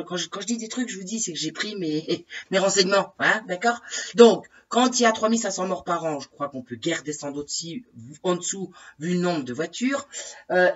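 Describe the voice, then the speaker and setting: A woman with a head cold, ranting indignantly in a casual monologue to her followers, repeating herself and asking pointed questions. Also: lowish voice. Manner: indignant, exasperated, repeats herself, many fillers and tag questions. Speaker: vlogger addressing her followers. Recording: distant, dull lo-fi recording.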